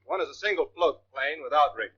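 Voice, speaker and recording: Dry 1940s american army instructor, brisk n authoritative, bright voice, noisy old film sound. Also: male voice, a little high, lively intonation, muffled recording. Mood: brisk, instructive. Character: seasoned male military instructor officer, brisk, dry, authoritative. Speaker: instructor in a military training film. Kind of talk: 1940s training film acting.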